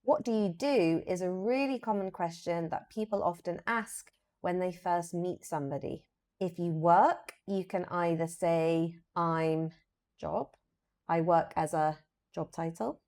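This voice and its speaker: A woman with a British accent presenting an English lesson as a teacher, explaining deliberately and modelling example phrases with emphasis. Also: on the low side, a little mellow.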